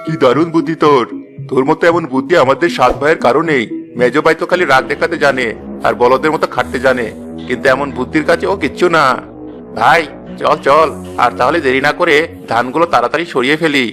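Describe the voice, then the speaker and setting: A man voicing a sly, flattering young village thief, eager and conspiratorial, in a fairy-tale cartoon. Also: medium pitch, quick pace, over music, lightly acted. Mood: scheming.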